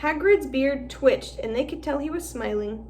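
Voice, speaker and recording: A woman teacher reading a children's novel aloud, clear and expressive. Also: high-pitched, moderate pace, a little hiss or hum. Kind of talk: storybook read-aloud narration.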